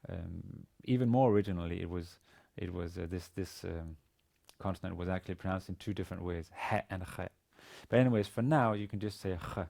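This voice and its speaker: Deep, gravelly Danish-accented man teaching. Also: darkish tone. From Denmark. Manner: halting, hesitant delivery with repeated words. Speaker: university language teacher. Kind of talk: instructional lecture. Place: indoor studio with plain grey backdrop.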